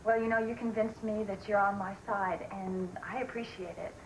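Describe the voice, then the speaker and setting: A woman's voice: medium pitch, steady tone, normal speed, roomy sound, slight background noise, natural voice, rough recording. An actress playing an insecure, grateful woman in a television soap opera, speaking in a hesitant, confiding way.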